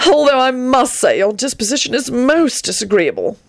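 A woman voicing an excitable, theatrical male tattletale in an audiobook story, with stilted phrasing. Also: on the high side, moderate pace, gravelly, no room sound, pitched above the performer's own voice. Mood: theatrical, disagreeable.

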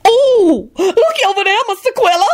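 A woman's voice: a little high, very animated, normal speed, voice pushed up. A woman voicing a bossy, outspoken older cook who grumbles and lectures in folksy, ungrammatical speech. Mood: excited. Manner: loud, folksy, ungrammatical talk with shrieks.

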